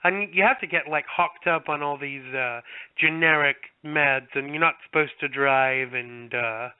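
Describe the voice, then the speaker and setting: Smooth-voiced stuffy host on a tinny line. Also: male voice, fairly high pitch, measured pace, dry recording. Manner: chatty, joking, hesitant restarts. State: congested with a cold. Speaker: podcast host. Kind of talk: casual podcast chat. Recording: dry tinny phone-like line.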